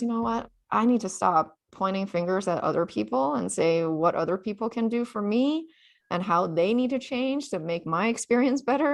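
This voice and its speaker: A woman author, a native Chinese speaker born in China, telling her travel story in a relaxed interview, full of "you know" fillers. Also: mid-range voice, vocal fry. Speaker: author guest.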